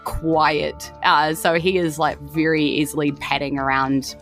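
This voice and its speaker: A woman's voice: low voice, flat delivery, measured pace, fairly bright tone, soft background music. A woman with a New Zealand accent, running a tabletop game as game master in an informal, conversational storytelling style. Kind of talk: improvised tabletop game narration.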